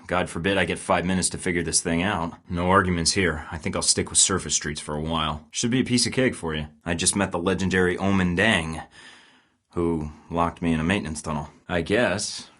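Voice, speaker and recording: A man's voice: low voice, a bit bright. An actor voicing a wisecracking young male secret agent in a video game, delivering dry, sarcastic quips while staying cool under pressure. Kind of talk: game voice lines.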